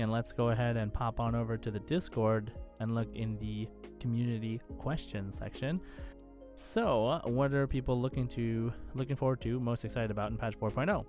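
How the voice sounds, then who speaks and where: A man co-hosting a casual gaming podcast, conversational and enthusiastic as he chats and reads out listener comments. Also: medium pitch. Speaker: podcast co-host.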